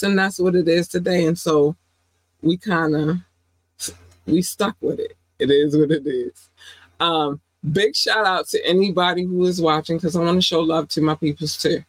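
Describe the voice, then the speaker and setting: A woman's voice: dry recording, rough recording. Bubbly, affectionate woman podcast host. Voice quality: affectionate, calls listeners "baby", jumps into high squeals when excited. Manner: chatty and rambling, laughs often. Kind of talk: casual solo podcast chat with shout-outs.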